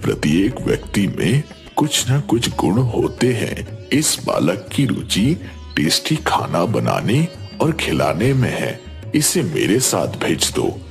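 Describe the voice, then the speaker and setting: A man playing a calm, kindly holy guru with a reassuring manner in a cartoon. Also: lowish voice, takes it slowly, gravelly, soft background music, exaggerated voice. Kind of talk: Hindi cartoon dialogue.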